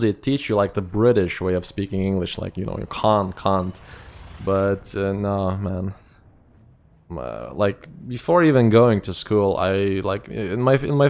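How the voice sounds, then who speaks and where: A man's voice: on the low side, a little mellow. A young man from Lithuania with a Lithuanian accent, chatting casually and rambling with lots of fillers while streaming a game. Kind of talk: casual live-stream chat.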